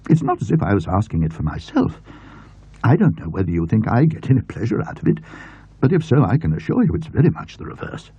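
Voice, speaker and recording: A man's voice: lowish voice, huge pitch swings, fast, warm tone, breathy edge, voice pushed up, exaggerated voice. A man voicing a fussy, exasperated older English aunt in a story, complaining at length. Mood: exasperated, scolding. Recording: muffled, roomy old audio recording.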